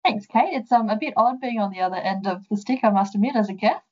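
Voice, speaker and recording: A woman's voice: medium pitch, fast, highs cut off. A young woman with an Australian accent, a guest on a podcast, answering questions in a friendly, explanatory way with frequent ums. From central west New South Wales, Australia. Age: young adult. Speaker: guest, sheep genetics development officer. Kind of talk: casual interview answers.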